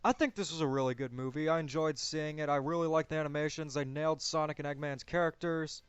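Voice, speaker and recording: A man's voice: a little high, fairly even intonation, fast talker, clean tone, dry recording. A man giving his opinions in a casual movie discussion as a co-host. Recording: dull, lo-fi, close dry sound.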